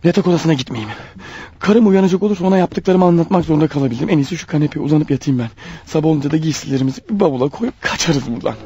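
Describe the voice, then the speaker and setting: Panicky guilt-ridden husband, high-pitched and fast. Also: male voice, lively intonation, highs cut off. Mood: anguished. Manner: jumpy, stammering, snappish and evasive. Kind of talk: radio play acting with muttered monologues.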